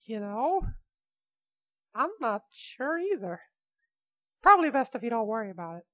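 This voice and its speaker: A woman voicing an immortal magical cat, childish, gleefully wicked and excitable, in an amateur comedic radio play. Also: a little high, moderate pace, dull audio. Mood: mischievous, casual. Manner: brash, excitable, whiny.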